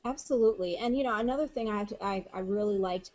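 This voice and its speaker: A woman's voice: mid-range voice, fast, a little mellow. A woman who works at a college, chatting earnestly about a social media guide, with frequent fillers like "you know" and "um". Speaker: college staff member, guest. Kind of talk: conversational discussion.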